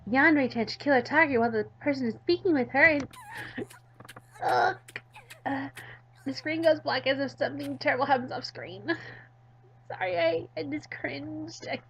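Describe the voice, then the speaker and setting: A woman's voice: sits high, normal speed, pauses often, muffled recording, slight background noise. A woman hosting a let's play, reading a visual novel aloud expressively with casual asides. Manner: animated, expressive.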